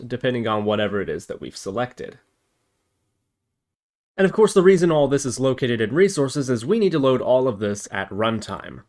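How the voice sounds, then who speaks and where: A man narrates a software tutorial in an explanatory, step-by-step way. His voice sits at an average pitch for a man, and his intonation moves up and down in a very lively way. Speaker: tutorial host. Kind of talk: software tutorial narration over screen recording.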